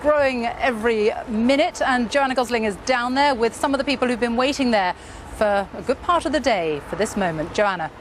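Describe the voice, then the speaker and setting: A woman's voice: on the high side, a little fast. A woman anchoring a live news broadcast as presenter, speaking outdoors. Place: outdoors outside a palace. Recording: outdoor broadcast with clear background noise.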